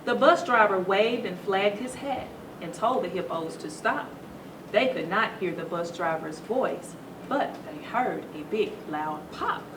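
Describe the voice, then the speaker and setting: A woman reading a children's story aloud to schoolchildren in a teacherly, animated way, stopping to put questions to them. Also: medium pitch, unhurried. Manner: teacherly, asks the listeners questions. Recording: roomy echo, distant mic, noisy background.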